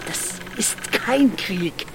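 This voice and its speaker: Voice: mid-range voice, expressive, extremely slow, soft background music. An actor playing a bitter, scornful old villager who mutters fatalistically in a radio drama. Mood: bitter, fatalistic.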